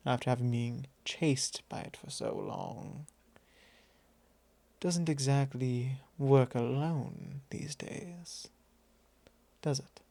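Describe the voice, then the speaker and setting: A man playing a possessive, teasing vampire lord in an intimate ASMR roleplay, speaking softly in a measured, drawling, formal way. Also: mid-range voice, gravelly, no echo. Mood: probing, quietly possessive. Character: possessive male vampire lord, teasing, calmly probing his thrall.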